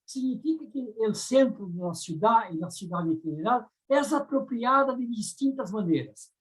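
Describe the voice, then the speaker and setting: A woman from Brazil, a native Portuguese speaker with a Brazilian accent, giving thoughtful academic commentary as a discussant on a round-table panel, often pausing to search for words. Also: fairly high pitch, animated, poor audio.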